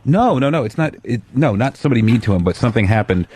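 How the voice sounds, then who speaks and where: A man on a radio show, talking casually in a halting, hedging way. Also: mid-range voice, lively intonation, a little mellow, slightly rough.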